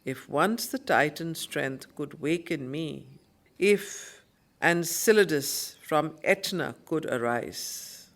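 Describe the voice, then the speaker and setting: Female study-circle teacher and reader; unhurried, deliberate, emphatic, for solemn verse and commentary. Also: low voice, expressive. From India. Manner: measured, deliberate, emphatic phrasing. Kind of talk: solemn poetry recitation and explanatory teaching.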